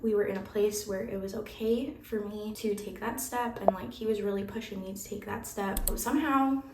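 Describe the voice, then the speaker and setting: A woman's voice: mid-range voice, fairly even intonation, normal speed, distant mic. A young woman chatting candidly into her camera, a little nasal and scratchy as she gets over a flu. She talks loosely, full of "like" and small laughs. Her voice carries a roomy echo with faint ambient noise behind it. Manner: chatty, candid, laughs often, says "like" a lot. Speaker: vlogger and aspiring fantasy author. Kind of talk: casual vlog chat.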